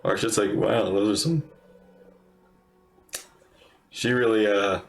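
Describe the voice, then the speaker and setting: A man doing casual live commentary as a game streamer, laid-back and halting as he thinks aloud. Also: on the low side, fairly even intonation, roomy sound. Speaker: game streamer reacting to cutscenes.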